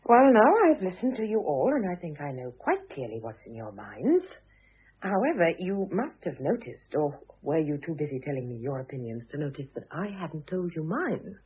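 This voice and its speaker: Wry, low-voiced mother, muffled radio. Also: big pitch swings, moderate pace, husky, exaggerated voice. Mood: gently firm. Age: middle-aged. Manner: dry, patient, gently ironic. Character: composed, wryly ironic middle-aged mother and ex-wife. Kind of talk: radio drama acting. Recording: muffled lo-fi old radio recording.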